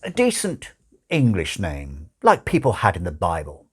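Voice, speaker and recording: A man's voice: sits low, wildly swooping pitch, a little slow, breathy edge, pitched below the performer's own voice, full character voice. A man voicing a hesitant, opinionated and conventional English father in an audiobook. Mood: speculative. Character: Mister Young, doubtful, conventional English father.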